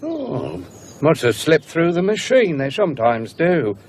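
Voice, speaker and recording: A chirpy, nosy English mail clerk in a radio drama. The voice is a little high and very deliberate, swinging in pitch, over some background noise. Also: male voice, wildly swooping pitch, darkish tone. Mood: apologetic, curious. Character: chatty, nosy, polite male mail clerk. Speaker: actor. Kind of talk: British radio horror drama acting.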